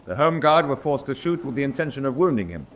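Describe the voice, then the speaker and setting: A man's voice: medium pitch, very animated, lightly acted. Grave, formal naval officer, played by a man, noisy track. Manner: formal, measured announcing. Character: grave senior German naval officer, prisoner of war. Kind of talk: solemn radio drama acting. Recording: noisy drama track with ambience.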